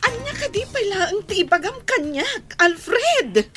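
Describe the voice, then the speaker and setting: A woman's voice: very high-pitched, very animated, measured pace, over music, full character voice. A woman acting in a radio drama as a firm young woman rejecting a suitor, with a Filipino Ilocano accent. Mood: firm, regretful.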